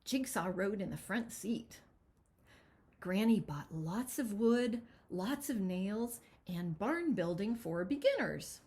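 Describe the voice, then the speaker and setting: A woman reading a picture book aloud as its author, with expressive, dramatic storytelling. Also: medium pitch, slow pace. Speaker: children's author reading their own book.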